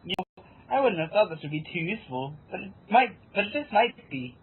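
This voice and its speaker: A performer of unclear sex playing a calm, encouraging judge of projects in an amateur story reading. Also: mid-range voice, brisk, husky. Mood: calm, approving. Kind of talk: amateur fan fiction dramatic reading. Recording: tinny phone-like sound, faint noise.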